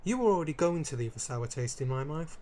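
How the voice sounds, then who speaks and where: A man's voice: a little high, expressive, fast, dark tone, lightly acted. A man playing a centuries-old vampire lord in a scripted roleplay addressed to the listener, haughty and dramatic, wry and easily flustered. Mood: indignant, flustered.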